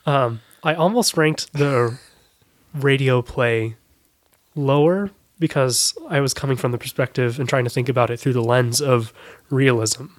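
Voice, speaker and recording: A man's voice: medium pitch, unhurried, dry recording. A young adult man talking casually as a guest on a podcast.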